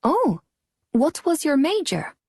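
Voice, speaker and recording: A woman playing a curious salon customer in a scripted textbook listening dialogue, asking lively questions. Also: high-pitched, slow pace, no echo, studio quality, full character voice.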